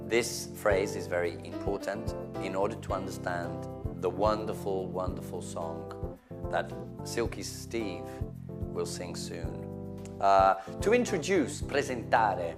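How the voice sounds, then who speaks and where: A man teaching English to Italians in an animated way, acting out examples and switching between English and Italian. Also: low-pitched, slow, over music. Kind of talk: language lesson for Italians.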